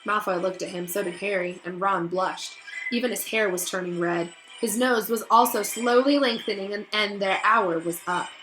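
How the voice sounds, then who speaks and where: A woman reading a storybook aloud as narrator, expressive, with casual asides to her listeners. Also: medium pitch, moderate pace, soft background music, low-quality recording.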